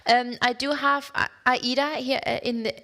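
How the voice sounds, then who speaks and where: A woman's voice: high voice, moderate pace. Woman moderating a panel discussion. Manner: lively, laughing, friendly prompting.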